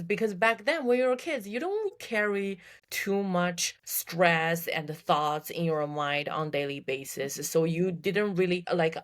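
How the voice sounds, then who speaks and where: A young woman from China speaks as a podcast guest, thoughtful and unhurried. Her voice sits very low for a woman, and she often repeats a word as she restarts a phrase. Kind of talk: casual podcast conversation.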